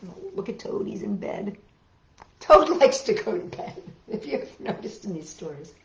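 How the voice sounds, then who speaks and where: A woman hosting storytime for children, reading aloud and chatting in a gentle, affectionate manner. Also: medium pitch, sing-song, moderate pace. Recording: roomy, dull lo-fi sound.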